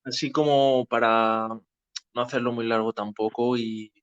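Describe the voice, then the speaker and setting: A man's voice: medium pitch, steady tone, measured pace, a bit bright, smooth, no room sound. A man with an accent from Spain, hosting an informal political discussion and explaining things conversationally. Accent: Spanish from Spain. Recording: dull, dry lo-fi voice chat.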